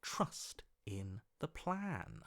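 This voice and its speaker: Scheming opportunist, video game character, oily, flattering, theatrical, extremely animated pitch, drawn-out emphasis. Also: male voice, vocal fry, full character voice. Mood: smug, flattering.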